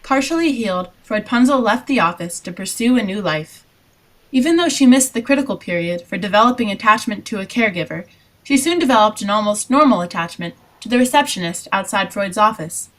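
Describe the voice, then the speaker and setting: A woman's voice: mid-range voice, lively intonation, a little fast, fairly bright tone. A woman reading scripted storybook narration for a fairytale parody.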